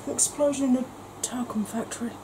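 A woman's voice: high-pitched, steady tone, fast talker, background noise. A woman, chatting casually and wandering off topic in a home makeup vlog. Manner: rambling, self-mocking, soft-spoken at times. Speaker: makeup vlogger. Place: indoor home room, kitchen.

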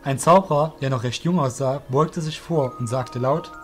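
A man's voice: medium pitch, moderate pace, soft background music. A man narrating a fantasy audio drama as a scripted storyteller.

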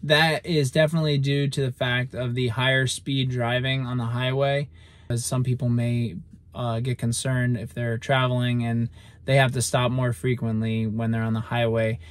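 A man's voice: mid-range voice, level tone, moderate pace. A young man vlogging from inside a parked car, explaining things in a matter-of-fact way to a phone camera held at arm's length. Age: young adult. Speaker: vlog host. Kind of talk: casual vlog talk.

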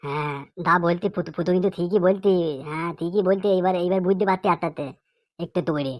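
A woman voicing a curious, earnest cartoon pupil who speaks in a halting, hesitant way. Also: on the low side, a little mellow, no echo, lightly acted. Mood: agreeing. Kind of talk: cartoon comedy dialogue.

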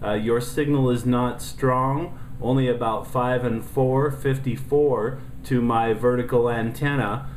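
A man's voice: medium pitch, steady tone, unhurried, hardly any pauses. American man in a roomy ham shack. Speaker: amateur radio operator. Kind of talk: casual ham radio contact. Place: indoor room beside a transceiver. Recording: roomy sound with faint noise.

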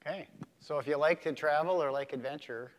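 A man's voice: on the high side, a little fast. A middle-aged American man, a police chief hosting a panel, telling a casual anecdote to introduce a speaker on stage. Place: stage of an auditorium. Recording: reverberant hall sound.

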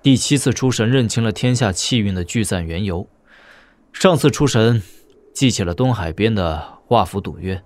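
A man acts a weary mystic in a martial-arts audio drama. His lowish voice carries regret and hesitation. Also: a little mellow, close to an everyday voice. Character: weary, regretful male mystic reporting a danger. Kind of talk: Chinese wuxia audio drama acting.

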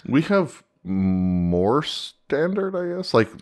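A man with a North American accent, co-hosting a casual podcast in a hesitant, measured way. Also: mid-range voice, sing-song, a little mellow. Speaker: podcast co-host. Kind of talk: casual fan podcast chat.

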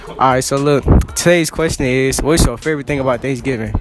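A man's voice: medium pitch, a little fast, over music. A teenage boy hosting casual school hallway interviews, joking and teasing in slang, holding a phone as a mic. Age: teens. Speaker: student interviewer, channel host. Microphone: handheld phone mic.

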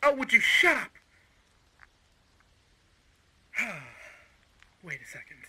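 An actor playing a smug, menacing male crime boss in an audio roleplay, speaking in a mocking, condescending and deliberate way. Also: extremely high pitch, sing-song, bright, forceful tone, slightly rough, full character voice. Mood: mocking, amused. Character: smug, menacing male crime-organization villain, coolly coercive. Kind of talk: scripted audio roleplay villain acting.

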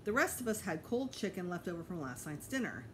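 Animated middle-aged woman reading a storybook aloud. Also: on the low side, fairly quick. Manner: animated, with small slips and self-corrections. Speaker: teacher reading aloud to pupils. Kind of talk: expressive children's storybook read-aloud. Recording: clear background noise, home recording.

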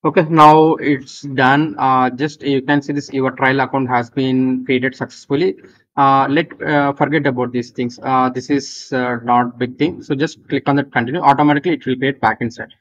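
A man with an Indian accent presenting an unscripted software walkthrough, halting and repetitive as he gives step-by-step instructions. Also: medium pitch, fairly even intonation, a little hiss or hum. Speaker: tutorial presenter. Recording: dull lo-fi voice recording.

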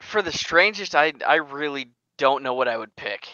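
A young man with a smooth, lively voice talks in casual banter. He pokes fun at himself. The audio is close and dry, a little dulled as on an online call. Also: on the high side, moderate pace, lo-fi sound. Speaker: podcast panelist. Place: dim bedroom gaming setup, indoors. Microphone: headset on an online call.

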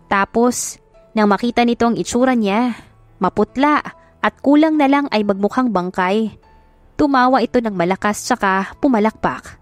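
A woman's voice: mid-range voice, no echo. A woman reading a scripted love story as a dramatic audiobook narrator. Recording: music behind the voice. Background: music.

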